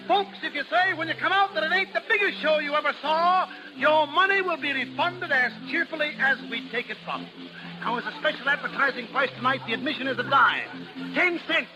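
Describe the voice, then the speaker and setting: A man's voice: very high-pitched, poor audio. A man playing a brash, good-natured American carnival barker, calling out folksy sideshow patter in 1930s radio style. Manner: declamatory, folksy sales patter. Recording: old 1930s radio recording with music.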